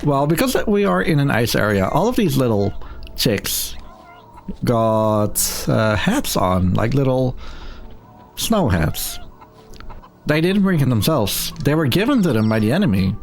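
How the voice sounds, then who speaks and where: Joking male gamer commentating, soft music behind. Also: medium pitch, sing-song, measured pace, creaky. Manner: joking, tongue-in-cheek. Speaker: speedrunner commentating at a marathon. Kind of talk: casual live game commentary.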